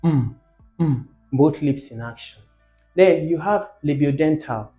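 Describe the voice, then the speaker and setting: A man's voice: a little high, measured pace. A man teaching a lesson, explaining didactically, asking questions and repeating key words for emphasis. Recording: muffled, echoey room with faint music behind.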